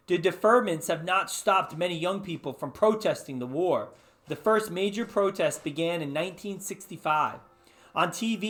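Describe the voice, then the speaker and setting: A man with a fairly high voice reads a story aloud as host. He is informal and drops chatty asides to his listeners. Faint music plays behind him. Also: a bit bright. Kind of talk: casual read-aloud of an interactive book.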